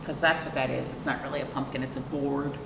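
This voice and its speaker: A woman's voice: a bass voice, little pitch movement, quick pace, fairly bright tone. A woman reading a picture book aloud to young children, expressively, with chatty questions and asides to her listeners. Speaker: librarian hosting a children's storytime. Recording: roomy, distant microphone, clear background noise.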